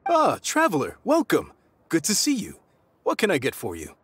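Animated, fatherly restaurant chef. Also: fairly high pitch, moderate pace, heavy background noise. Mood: welcoming. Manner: courteous, fatherly. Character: kindly middle-aged restaurant chef and protective father. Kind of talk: anime video game voice acting.

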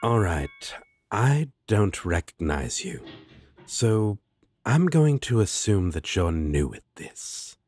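Man acting casual demon roommate, sardonic, husky, very lively swooping intonation, unhurried, fantasy audio roleplay. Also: on the low side, no echo, exaggerated voice. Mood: wary, exasperated. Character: laid-back, teasing, affectionate male demon roommate.

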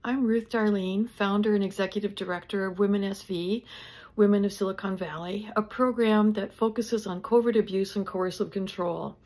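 An older woman with a mid-pitched voice gives a recorded introductory address. She speaks as a founder, in an earnest and measured way, with halting phrasing. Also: fairly even intonation, darkish tone. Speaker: nonprofit founder giving an introduction.

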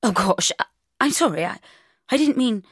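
A woman's voice: fairly high pitch, expressive, normal speed, breathy edge, no echo, studio quality, pitched above the performer's own voice. A woman voicing a posh, awkward teenage schoolboy, hesitant and polite, in a story. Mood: flustered, apologetic.

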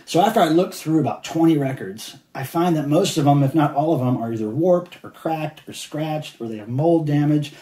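Enthusiastic guy rambling about stuff he found, mellow voice, kinda echoey room. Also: a little high, normal speed, few pauses. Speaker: collector showing finds. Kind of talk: casual show-and-tell vlog. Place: indoors at a table of cassettes.

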